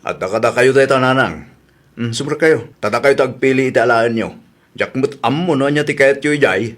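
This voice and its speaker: A man's voice: mid-range voice, fairly quick, fairly bright tone, lightly acted. Grumbling husband acted by a man. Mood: mild, persuasive. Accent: Ilocano. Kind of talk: Ilocano comedy audio drama acting.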